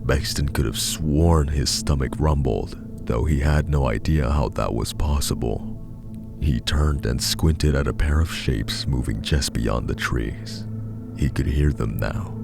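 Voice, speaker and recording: Super deep gravelly guy narrating creepypasta, slow measured delivery, music behind him. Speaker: horror story narrator. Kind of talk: scripted horror narration.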